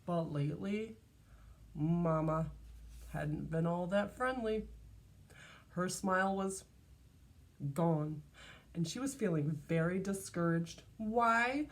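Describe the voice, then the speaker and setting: A woman reading a storybook aloud to young children like a teacher, expressive and deliberate, prompting her listeners. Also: fairly low pitch.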